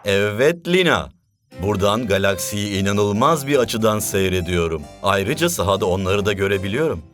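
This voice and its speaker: A smug male coach in a cartoon. The voice is low, with soft music behind it. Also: animated, normal speed. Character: smug adult male coach on a remote broadcast. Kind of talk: dubbed cartoon acting.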